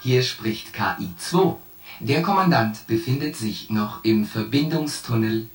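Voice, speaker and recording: A man's voice: mid-range voice, expressive, unhurried, very noisy, natural voice. Echoing, distant shipboard computer acted by a man. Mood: impassive, procedural. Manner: formal, clipped status reports. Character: male-voiced shipboard artificial intelligence, impassive, technical. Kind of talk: German science fiction radio drama acting. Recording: echoing, distant intercom with music behind.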